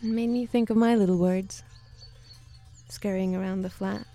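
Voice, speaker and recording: A woman from Sweden with a Swedish accent, chatting casually as a studio guest and then reading her poetry slowly and deliberately. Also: mid-range voice, fairly even intonation, mellow, soft background music. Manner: laughs easily, reads poetry slowly and deliberately. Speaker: guest poet. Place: radio studio.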